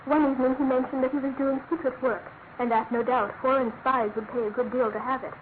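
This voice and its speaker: An actress plays a young British woman, a loyal and anguished fiancée in a radio mystery. Her voice is high and refined, with earnest, halting emphasis. The recording is thin and phone-like, lightly noisy. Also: steady tone, close to an everyday voice. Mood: subdued, earnest. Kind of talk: classic radio drama acting. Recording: noisy, narrow-band old radio recording.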